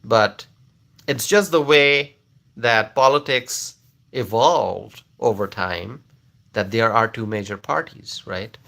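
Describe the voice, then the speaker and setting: A man's voice: mid-range voice, slow, creaky, fairly bright tone. A man from Bangladesh, earnestly explaining politics to viewers in a casual, rambling way full of fillers and restarts. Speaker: political commentator answering viewers. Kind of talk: casual livestream talk.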